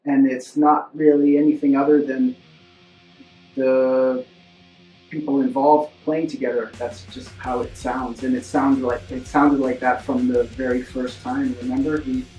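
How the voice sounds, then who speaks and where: A man who grew up in Barbados, a bassist telling stories in a relaxed, rambling interview. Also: measured pace. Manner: laid-back, rambling, with fillers and swearing. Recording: roomy echo, faint music.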